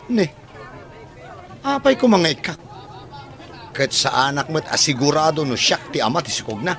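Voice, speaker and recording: A man's voice: on the high side, big pitch swings, normal speed, fairly bright tone. A man with an Ilocano Filipino accent playing a callous, evasive village man who denies fathering a baby, in a radio drama. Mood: dismissive, defensive.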